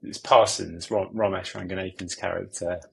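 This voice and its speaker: A man with a lowish, creaky and husky voice hosts an interview. He is courteous and slightly hesitant as he phrases his thanks and remarks. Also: steady tone, moderate pace, fairly bright tone. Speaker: guest host interviewer. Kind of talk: polite interview hosting.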